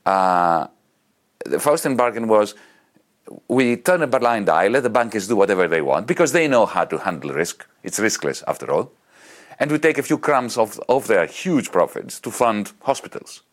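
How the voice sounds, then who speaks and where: A man with a Greek accent arguing politics in an interview, emphatic and animated, piling up examples. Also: on the low side, moderate pace. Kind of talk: impassioned political argument.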